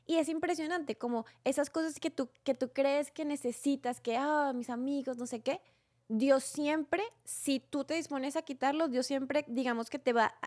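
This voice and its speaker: A young woman talking as a guest about her faith, reflective and halting, restarting her sentences often. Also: sits high, a little mellow. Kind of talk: casual faith conversation.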